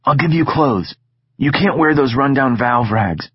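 A man's voice: medium pitch, expressive, a little slow, slightly rough, no room sound, muffled recording, voice pushed up. A man voicing a brash, teasing teenage boy who keeps pushing his friend, in an audiobook reading. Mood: pushy eager.

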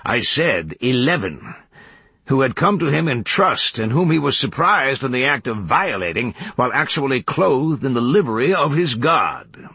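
A man voicing an enormous bald accuser in a Western story, serene and grandiloquent, speaking in a formal, deliberate, oratorical manner. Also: mid-range voice, big pitch swings, pushed and bright, husky, dry recording, pitched above the performer's own voice, heavily acted. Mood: emphatic, accusing.

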